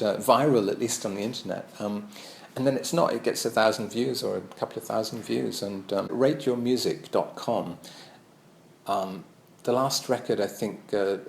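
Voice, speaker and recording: Musing low-voiced man lecturing, roomy sound. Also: vocal fry, slight background noise. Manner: musing and hesitant, many ums and restarts. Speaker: musician lecturing on his own album. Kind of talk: casual spoken lecture.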